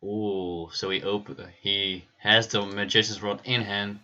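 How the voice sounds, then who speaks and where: Bright-voiced man casting a card game. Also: on the low side, muffled recording. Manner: relaxed, laughs easily, frequent "uh" pauses. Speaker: stream host and caster. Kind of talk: esports game commentary.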